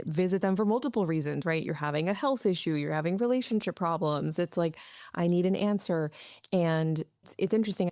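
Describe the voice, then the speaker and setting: A woman's voice: low voice, darkish tone, smooth, no room sound, clean studio sound. A woman from the United States hosting a podcast interview, talking through ideas thoughtfully. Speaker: podcast host. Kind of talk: casual interview chat.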